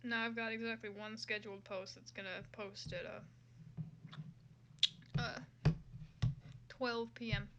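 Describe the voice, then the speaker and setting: A woman's voice: on the high side, level tone, unhurried, long pauses, bright, forceful tone, muffled recording, slight background noise. A woman livestreamer chatting casually and reacting as she browses, with a sweary, exclaiming, self-deprecating manner. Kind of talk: casual livestream chat, reacting to fan fiction.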